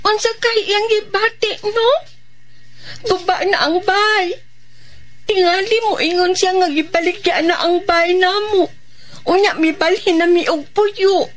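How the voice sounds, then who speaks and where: A woman's voice: very high-pitched, steady tone, unhurried, fairly bright tone. A woman with a Filipino accent voicing a sad, anxious little child in a radio drama, plaintive and tearful. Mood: plaintive, worried.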